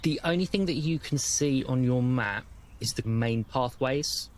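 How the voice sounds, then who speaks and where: A man's voice: mid-range voice, measured pace, slight background noise. British man running a tabletop game. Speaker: game master. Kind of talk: narrating a tabletop game, improvised.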